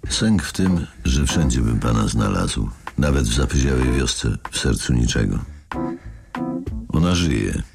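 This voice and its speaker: An actor in a radio drama playing a menacing, philosophical older man who speaks with slow, polite needling. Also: animated, full character voice. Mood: cold, controlled. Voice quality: very deep gravelly voice. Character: menacing older married man with a grown daughter, coolly philosophical. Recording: studio radio play with music underneath.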